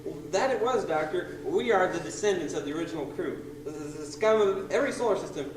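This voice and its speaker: Guy doing a stuttery folksy pig pirate, high voice, radio play, echoey old recording. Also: expressive, natural voice. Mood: matter-of-fact. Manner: folksy, halting, eager to comply. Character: stammering pig ensign, a resigned, folksy pirate. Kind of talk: old-time radio comedy acting. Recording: noisy, echoey old tape, distant mic.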